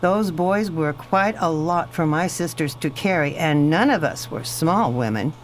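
An actress playing a posh, talkative superintendent's wife in a radio play, genteel and sure of herself. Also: female voice, low voice, expressive, unhurried. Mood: gracious. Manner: genteel, chatty, self-assured. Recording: faint background noise.

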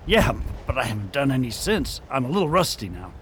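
A man's voice: mid-range voice, sing-song, brisk, slight background noise, lightly acted. An actor playing a loyal male frontier sheriff in a Western radio drama, plainspoken and modest. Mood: anxious. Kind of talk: amateur radio drama acting.

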